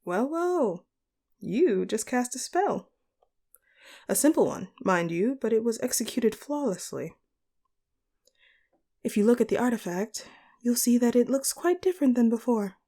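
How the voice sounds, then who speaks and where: A woman performing a composed, courteous female supervillain boss in a scripted audio roleplay, speaking to the listener in formal, measured phrases. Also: fairly high pitch, expressive, dark tone. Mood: impressed.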